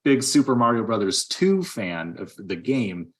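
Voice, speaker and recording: A man's voice: fairly low pitch, sing-song, moderate pace. A man co-hosting a movie podcast, talking casually and conversationally about films. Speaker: podcast co-host reviewing movies. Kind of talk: casual film discussion.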